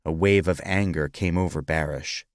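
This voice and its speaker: A man's voice: low-pitched, fairly even intonation, normal speed, dry recording, studio quality. A man reading scripted historical fiction as a dramatic audiobook narrator.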